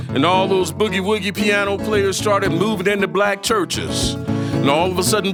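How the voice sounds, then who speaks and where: A man telling a story as a performer, in a folksy way with dramatic pauses and conversational asides, on the history of music. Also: sits high.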